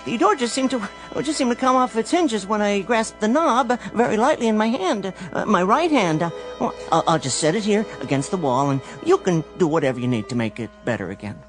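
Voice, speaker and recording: Fussy, meek, lively-pitched salesman. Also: a little fast, hardly any pauses, music in the background, poor audio. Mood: mystified, apologetic. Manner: hesitant, prim, wordy, formal. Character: meek, fussy, bewildered vacuum cleaner salesman. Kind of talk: radio drama acting.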